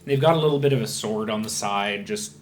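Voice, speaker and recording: A man running a tabletop game as game master, describing scenes in a halting way with many fillers and restarts. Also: on the low side. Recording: echoey room, faint noise.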